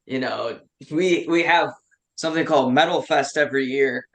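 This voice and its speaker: A man's voice: mid-range voice, normal speed, rough recording. A man in his twenties from Western New York, a metal band member chatting enthusiastically as a guest and swearing freely. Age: 20s. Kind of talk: casual chat.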